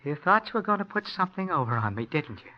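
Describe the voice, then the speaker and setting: A soft, childlike menace hangs on every word of this unstable young handyman. He talks quietly and eerily, in the style of 1940s radio acting. The old recording is dull and lo-fi. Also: high voice, sing-song, quick pace. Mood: accusing.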